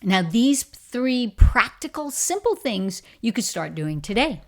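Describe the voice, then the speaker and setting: A woman's voice: fairly high pitch, big pitch swings, measured pace. A middle-aged woman with a Bahamian accent giving an encouraging, emphatic motivational talk on leadership. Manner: warm, encouraging, emphatic storytelling. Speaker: leadership coach.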